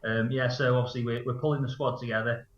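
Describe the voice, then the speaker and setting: A man's voice: mid-range voice, flat delivery, fast, a little hiss or hum. A man answering casually as a football coach in a radio interview, matter-of-fact and a little hesitant in his phrasing. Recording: roomy, slightly dull radio interview sound.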